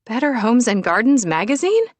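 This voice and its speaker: A woman's voice: on the high side, sing-song, moderate pace, no echo, voice pushed up. A frazzled woman of about thirty, acted out by a female narrator, feisty and self-deprecating, with emotion close to the surface. She speaks in audiobook dialogue with a dry, close sound. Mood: excited disbelief.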